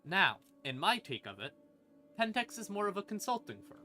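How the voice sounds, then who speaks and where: Bright-voiced man streaming casual lore talk. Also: sits high, sing-song, normal speed. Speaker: streamer explaining game lore. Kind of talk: casual, rambling lore explanation. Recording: music playing behind the voice. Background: creepy ambient music.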